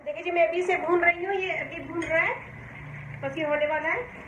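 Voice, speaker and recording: A woman from Pakistan hosting a home cooking demonstration, calmly explaining each step and often inviting viewers to look. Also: extremely high pitch, normal speed. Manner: calm, homely explaining, often saying "dekhiye ji". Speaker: cooking channel host. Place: home kitchen, indoors. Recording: echoey kitchen with faint hum.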